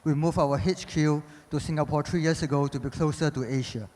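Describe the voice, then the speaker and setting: A man's voice: on the high side, fairly even intonation, a little fast, a little mellow. Polite matter-of-fact male panelist. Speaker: panelist, regional executive. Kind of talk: panel self-introduction. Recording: conference hall reverb.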